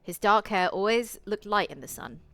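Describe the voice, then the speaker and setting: A woman's voice: mid-range voice, lively intonation, normal speed, creaky, fairly bright tone, dry recording. A woman game streamer reading a visual novel aloud and chatting to viewers, with casual swearing and playful asides. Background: eerie game music.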